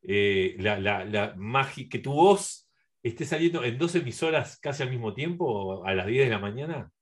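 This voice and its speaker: A man's voice: mid-range voice, lively intonation, normal speed. A man with a Uruguayan accent hosting a casual radio interview, rambling his way around his questions.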